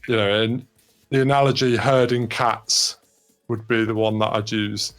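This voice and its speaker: A man's voice: on the low side, steady tone, measured pace, faint music behind. A man talking casually as a guest in an informal panel discussion. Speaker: record label guest.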